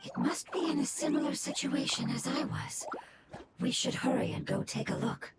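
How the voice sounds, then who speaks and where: A woman voicing a solemn, earnest, ancient nature spirit in an anime game, breathy and formal in delivery. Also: high-pitched, normal speed, heavy background noise. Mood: urgent, earnest. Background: music.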